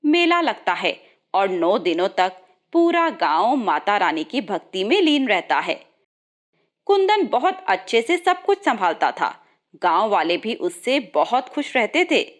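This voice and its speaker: A woman's voice: medium pitch, sing-song, a little slow. A woman reading a scripted moral story as its narrator.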